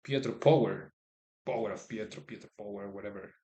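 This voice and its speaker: A man from Colombia with a Colombian Spanish accent, whose first language is Spanish, gives casual live-stream chess commentary. He is chatty and halting, repeats words, and talks to his viewers, recorded on a phone. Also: on the low side, dark tone.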